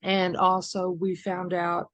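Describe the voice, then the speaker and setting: An older woman hosting a conversation, unhurried and searching for words as she talks. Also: fairly low pitch, completely flat delivery, fairly bright tone, no echo. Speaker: host.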